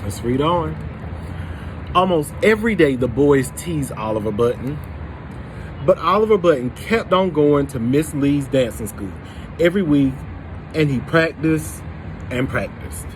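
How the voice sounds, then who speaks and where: A man with a slightly high voice reads a children's picture book aloud. He stops to prompt young listeners with questions. There is some ambient noise behind him. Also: animated, measured pace. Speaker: storybook reader for children.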